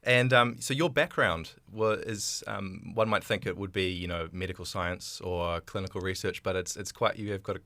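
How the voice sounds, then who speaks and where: Host, man, lowish voice, friendly chatty interviewer. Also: normal speed, hardly any pauses, sharp tone, no echo. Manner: friendly, chatty, with frequent fillers. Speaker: podcast host. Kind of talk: podcast interview, casual chat.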